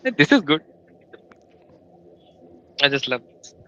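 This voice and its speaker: A man's voice: on the high side, sing-song, slow, bright, forceful tone, lo-fi sound, slight background noise. A man from Madhya Pradesh in India, a book club participant, telling stories eagerly with plenty of fillers. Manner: hesitant, enthusiastic storytelling with many fillers. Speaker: reading group participant. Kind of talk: casual group discussion.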